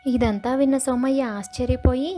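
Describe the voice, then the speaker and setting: High-voiced woman narrating a story. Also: fairly even intonation, moderate pace, mellow, faint music behind.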